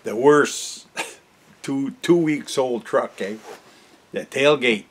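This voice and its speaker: A man in his sixties with a Canadian accent, telling stories casually in a folksy, halting, jovial way as a vlog host. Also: medium pitch, a little hiss or hum. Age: 60s. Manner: folksy, halting, often tags sentences with "eh"; jovial, chuckles often. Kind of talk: casual rambling storytelling to camera. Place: cluttered garage workshop, indoors.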